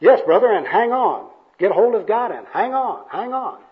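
A man's voice: high-pitched, expressive, fast talker, phone-quality sound, pitched above the performer's own voice. A man voicing a pious old gospel worker in an amateur comic skit, with a fervent, florid, preachy delivery. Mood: exhorting.

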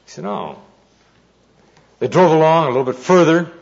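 A man giving an informal sermon with anecdotes, telling them deliberately with pauses and dry humour. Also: a little high, expressive, darkish tone. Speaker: preacher, Bible teacher. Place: speaking live to an audience. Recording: dull, muffled lo-fi recording.

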